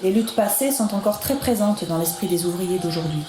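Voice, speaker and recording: A woman's voice: mid-range voice, fairly quick. A woman reading scripted radio narration in an echoey room, with music behind her.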